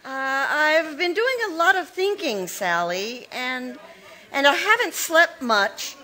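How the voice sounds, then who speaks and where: An actress playing a scheming spinster sister in a live recreation of a 1940s radio mystery, putting on a halting, stammering show of distress. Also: female voice, high voice, sing-song, sharp tone, full character voice, poor audio. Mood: pleading.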